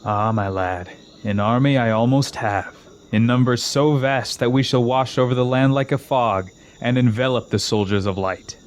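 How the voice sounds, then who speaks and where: A man playing a scheming exiled old king in a fantasy audio drama, speaking in a slow, grandiose, coaxing manner. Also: medium pitch, steady tone, close to an everyday voice. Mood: vengeful, grandiose.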